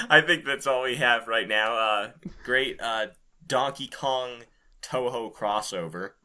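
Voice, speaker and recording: A man's voice: mid-range voice, slow pace, sharp tone. A man co-hosting a podcast with crude, sweary comic banter, often laughing as he talks. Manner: laughs often while talking, sweary and irreverent. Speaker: podcast co-host.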